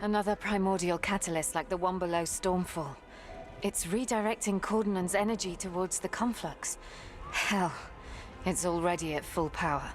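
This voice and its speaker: A woman's voice: lowish voice, moderate pace, close to an everyday voice. A woman playing a defiant, weary fantasy warrior heroine in a video game, with a blunt, wry delivery. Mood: alarmed. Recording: loud music behind.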